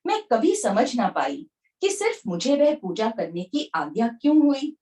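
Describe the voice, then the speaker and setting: A woman's voice: on the high side, wildly swooping pitch, a little slow, dark tone. A woman reading a devotional memoir aloud, recorded at some distance from the microphone. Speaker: book reader. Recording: distant, far from the mic.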